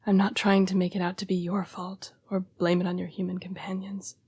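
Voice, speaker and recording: A woman playing a young-seeming, formal and apologetic female vampire in an intimate audio roleplay, breathy and often dropping to a whisper. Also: on the low side, completely flat delivery, darkish tone, dry recording, clean studio sound. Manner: formal, polite, measured phrasing. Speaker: vampire council's correspondence assistant.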